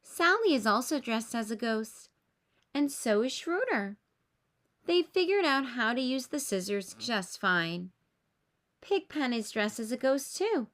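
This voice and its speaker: A woman reading a children's story aloud with expressive narration aimed at young listeners. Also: a little high, unhurried. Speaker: storybook reader.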